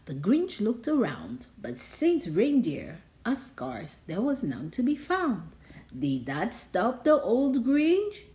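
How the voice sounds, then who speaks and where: A woman's voice: on the high side, huge pitch swings, slow, warm tone. A woman reading a children's storybook aloud, theatrically drawing out and stressing words. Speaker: storybook reader. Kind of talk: expressive children's read-aloud.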